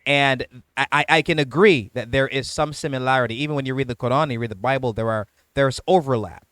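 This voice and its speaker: A man hosts a casual debate, laying out his points in a steady, measured way. His voice sits at an average pitch. The recording is dry and close, with almost no room sound. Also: clean tone, dry recording.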